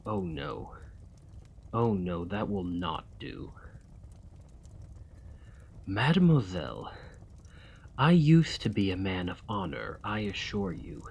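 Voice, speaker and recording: A man playing a desperate, weary immortal gentleman vampire in an ASMR roleplay, speaking formally and courteously in a breathy, husky voice that often drops to a whisper. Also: lowish voice, big pitch swings, slow pace, pauses often, heavily acted. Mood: stern, threatening. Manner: formal, courtly, old-fashioned phrasing.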